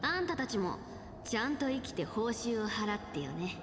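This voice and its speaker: Defiant fantasy game woman, proud, high-pitched, noisy distant sound. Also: big pitch swings, quick pace. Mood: pointed. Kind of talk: Japanese video game voice acting.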